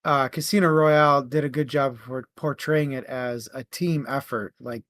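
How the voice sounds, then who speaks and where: A man co-hosting a book club show, discussing casually in a halting, conversational way. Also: medium pitch, fairly even intonation, fairly bright tone.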